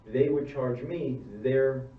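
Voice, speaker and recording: A man's voice: medium pitch, steady tone, slow. A man who works as a funeral director, answering audience questions at a seminar in a plain-spoken way, explaining step by step and restarting his sentences. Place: church hall seminar. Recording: distant mic, roomy hall, dull sound, faint hum.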